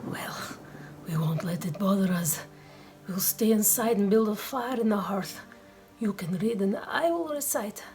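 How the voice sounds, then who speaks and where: A woman playing a kindly, devout, blind old man in a radio play, reciting and teaching in a measured, gentle way. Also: mid-range voice, lively intonation, faint music behind. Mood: gentle, reverent.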